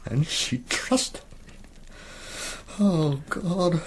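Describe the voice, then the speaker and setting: A man playing an anguished, guilt-ridden monk in a radio drama, grieving in a halting, tormented manner. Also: on the high side, slight background noise. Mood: anguished grief.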